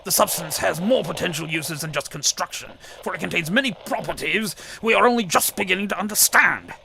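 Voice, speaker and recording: An actor playing a nervous, fussy scientist informant in a radio drama, stammering and formally precise. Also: male voice, sits high, brisk, gravelly, sharp tone, a little hiss or hum, exaggerated voice, poor audio. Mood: earnest, explaining. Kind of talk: old-time radio drama acting.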